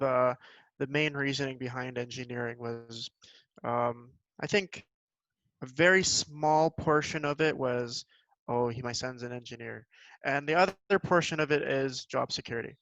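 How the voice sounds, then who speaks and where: A man's voice: mid-range voice, moderate pace, fairly bright tone, dry recording. Earnest young man, slightly muffled. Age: young adult. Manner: agreeable, earnest, hesitant. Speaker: podcast guest, university student. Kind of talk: casual conversational interview. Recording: slightly dull lo-fi sound.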